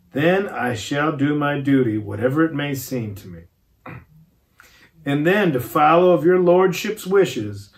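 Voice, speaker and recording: A man voicing a grave, solemn old professor who pleads in slow, formal and earnest speech. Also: fairly high pitch. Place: garage.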